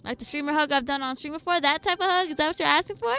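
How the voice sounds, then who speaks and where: A woman's voice: sits high, very fast, bright, forceful tone. A woman streaming a video game, chatting casually with viewers in a playful, teasing way. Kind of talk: casual live stream chat while playing. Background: game music.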